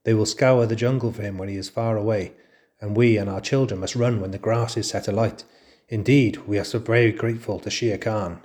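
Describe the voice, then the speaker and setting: A man voicing a calm, wise mother wolf who speaks quietly and dryly in a storybook reading. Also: on the low side, close to an everyday voice. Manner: quiet, dry and measured.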